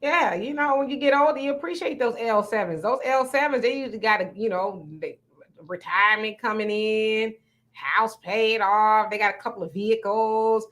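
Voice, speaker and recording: A woman's voice: a little high, normal speed, fairly bright tone. A woman hosting a livestream, chatting frankly and playfully as she reads out viewer comments. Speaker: livestream host. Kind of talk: casual chat reading viewer comments.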